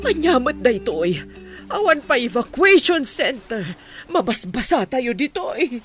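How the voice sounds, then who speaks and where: A woman's voice: high voice, big pitch swings, measured pace, faint music behind. A woman playing a fearful, distressed woman caught in a storm in a radio drama. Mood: dismayed. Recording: faint storm-like background noise.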